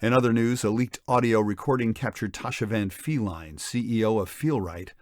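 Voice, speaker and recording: A man's voice: fairly low pitch, moderate pace, soft background music, natural voice. A man playing a sober evening news anchor, reading a formal scripted bulletin in an audio drama. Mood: sober, factual. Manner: formal newsreading.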